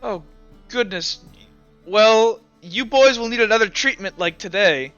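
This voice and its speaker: Man playing a brusque female commander, bright-voiced. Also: sits high, a little slow, smooth, no room sound, lo-fi sound, faint music behind, pitched above the performer's own voice. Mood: businesslike. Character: brusque woman guard commander, sharp and commanding.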